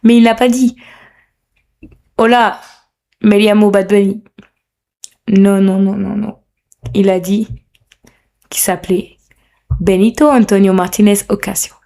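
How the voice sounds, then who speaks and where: A woman's voice: medium pitch, fairly even intonation, measured pace, long pauses, warm tone. Chatty young woman hosting a podcast. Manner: chatty, playful, self-mocking, enthusiastic. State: slightly sore throat. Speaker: podcast host. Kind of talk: casual solo podcast commentary. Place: room at home.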